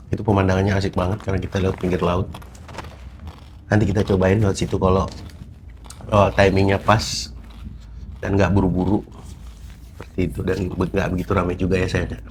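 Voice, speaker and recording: A young man with a lowish voice chats in a relaxed, rambling way. He drifts from thought to thought with plenty of fillers, over a faint hum of ambience. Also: moderate pace, pauses often. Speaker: vlog host. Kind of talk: casual chat.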